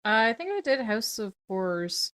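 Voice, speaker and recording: A woman's voice: medium pitch, sing-song, normal speed. Blunt woman in casual podcast chat. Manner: blunt, profane. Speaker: podcast co-host.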